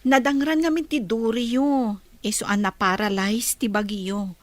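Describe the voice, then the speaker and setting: An actress playing a chatty, curious female friend in a radio drama, with an expressive, friendly manner. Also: a little high, measured pace, dry recording, natural voice. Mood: cheerful, friendly.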